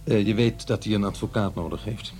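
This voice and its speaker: A man's voice: lowish voice, a little fast, a little mellow, soft background music, lightly acted. An actor playing an awkward, defensive divorced father in middle age in a radio play, with a hesitant delivery. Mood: defensive, indignant. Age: middle-aged. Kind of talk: radio play acting, naturalistic dialogue and narration.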